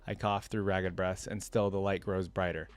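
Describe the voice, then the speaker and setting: Male reaction host, lowish voice, informal and colloquial delivery between storytelling and off-the-cuff commentary, very little room sound. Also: little pitch movement, moderate pace, clear, resonant tone, no room sound. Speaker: reaction video host reading a story aloud. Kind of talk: reading a fantasy story aloud with casual off-the-cuff commentary.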